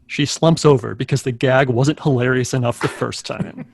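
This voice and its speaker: Medium-pitched man narrating, dry close sound. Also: normal speed, vocal fry, husky, dry recording. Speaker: narrator reading stage directions. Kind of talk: scripted narration at a live table read.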